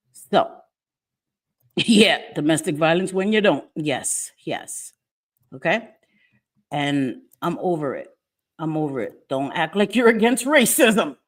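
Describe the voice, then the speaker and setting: A middle-aged woman from New York, hosting a casual livestream, speaking emphatically and defiantly and repeating her phrases. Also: on the low side. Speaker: livestream host. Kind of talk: casual livestream chat, reading viewer comments. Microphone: webcam or phone mic, live stream.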